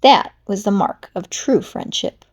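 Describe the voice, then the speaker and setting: A woman reading a children's storybook aloud, with animated, dramatic narration. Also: mid-range voice, measured pace, breathy edge. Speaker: storybook reader.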